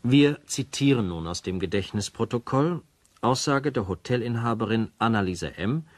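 A man playing a radio announcer in a crime drama, formal, measured and sober in his scripted narration. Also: medium pitch, animated, dry recording, lightly acted. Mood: grave, factual.

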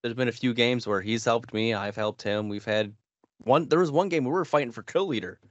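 A man's voice: mid-range voice, a little fast, dry recording. An American man in his thirties, a podcast co-host chatting casually about games. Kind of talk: casual gaming chat. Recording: slightly dull, band-limited, dry sound.

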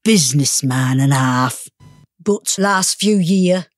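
Hardened Northern English working-class mother, gritty audio drama. Bitter, slangy, unhurried delivery, very dry sound. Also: sits low, animated, dry recording, faint music behind, exaggerated voice. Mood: guarded, evasive. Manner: blunt, slangy, sweary. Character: bitter, hard-drinking working-class mother, grieving and defiant.

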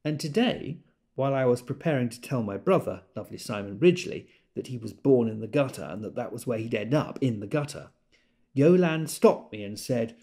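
A man's voice: medium pitch, expressive, a little fast, warm tone, lightly acted. A man playing a gossipy stage actor in an audio drama monologue, arch and declamatory with dry disdain. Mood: wry, disdainful.

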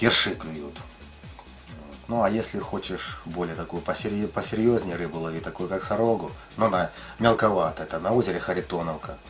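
A middle-aged man casually explaining his ice fishing tackle, rambling with many fillers and restarts. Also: deep voice. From lived in Ukraine, now in the Russian far north.

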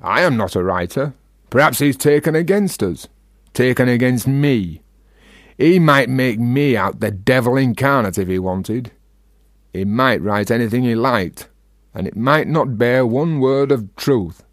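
A man acts a Yorkshire philosopher in a radio drama. He talks in rhetorical flourishes and teases as he provokes, in a mid-pitched voice recorded close and dry. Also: expressive, a little slow, no echo, close to an everyday voice. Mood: musing, menacing. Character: talkative Yorkshire freethinker, teasing and philosophising. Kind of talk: radio play acting.

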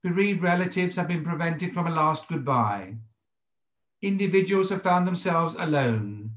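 A British man in his sixties, a rabbi giving a sermon in a measured, formal and deliberate way over a video call from a home study. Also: fairly high pitch, expressive, fairly bright tone, roomy sound. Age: 60s. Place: indoors, home study with bookshelves. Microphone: computer microphone on a video call.

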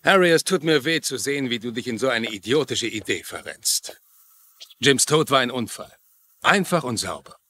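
A man's voice: mid-range voice, expressive, moderate pace, bright, forceful tone. An actor playing a condescending but outwardly reasonable lawman, admonishing people in a dubbed TV crime drama. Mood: patronising. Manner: patronising, admonishing.

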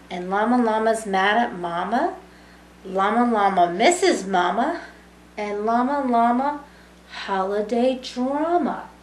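Slow-paced woman reading to children, echoey room. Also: fairly high pitch. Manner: simple, child-directed talk with tag questions. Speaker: student volunteer reading a picture book to children. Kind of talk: storytime read-aloud for young children. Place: small room with brick wall, indoors. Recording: echoey room with background noise.

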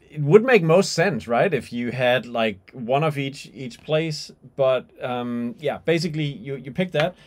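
Informal middle-aged man thinking aloud. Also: mid-range voice. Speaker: tutorial presenter. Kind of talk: unscripted software and hardware demonstration. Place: small indoor room with skylight window.

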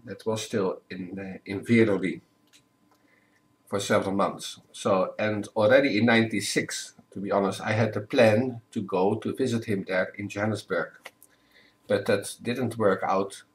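Low-voiced older man, measured. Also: fairly even intonation. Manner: careful, measured, with self-corrections. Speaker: priest, guest. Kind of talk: relaxed interview answers. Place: home living room. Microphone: webcam at desk.

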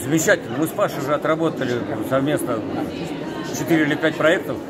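A middle-aged actor giving short answers in a premiere interview. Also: male voice, on the high side, quick pace, a loud crowd. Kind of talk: red carpet interview. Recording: noisy crowded lobby.

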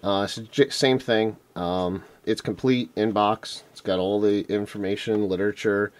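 A man with a lowish voice that is going hoarse, clearing his throat now and then. He rambles in an easygoing, enthusiastic way, full of ums and uhs, like someone showing off his latest finds on camera. He is recorded indoors by a camera mic while his hands work on a table. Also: fairly even intonation, normal speed. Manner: rambling, filler-heavy, enthusiastic. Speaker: retro game collector vlogger. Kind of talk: casual unboxing vlog. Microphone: camera mic off-screen, hands on table.

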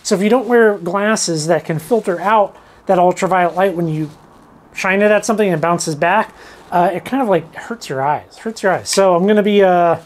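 A man's voice: sits high, darkish tone. A man in his thirties gives an informal, self-deprecating explainer as a channel host, talking to camera. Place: cluttered indoor workshop. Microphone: lavalier clipped on shirt.

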